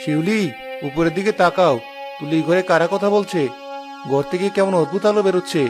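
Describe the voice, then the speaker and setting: A man's voice: high-pitched, a little fast, music in the background, close to an everyday voice. A man voicing a cautious, protective village father in a cartoon fairy tale, speaking earnestly. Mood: wary, resolute.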